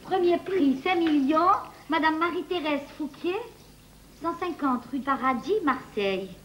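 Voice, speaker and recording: An actress playing a neighbourhood woman reading a newspaper aloud deliberately in a comic drama. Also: high voice. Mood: deliberate, announcing. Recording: slightly muffled, faint noise.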